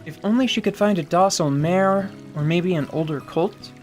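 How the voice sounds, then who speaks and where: Higher-voiced male narrator over music. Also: moderate pace, a little mellow. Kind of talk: scripted radio-drama narration.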